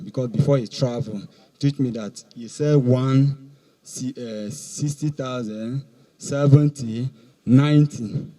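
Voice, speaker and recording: Nigerian man, thirties, mellow mid-range voice, halting and deferential suspect under questioning. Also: medium pitch, rough recording. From Nasarawa State, Nigeria. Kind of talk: answering questions in halting English. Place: outdoors in a police compound. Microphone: handheld microphone.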